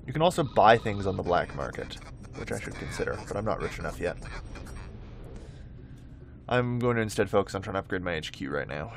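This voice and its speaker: A man's voice: deep voice, sing-song, brisk, gravelly, pauses often, soft background music. A man giving casual gameplay commentary, thinking aloud in a rambling way and often correcting himself. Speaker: host of a gameplay video.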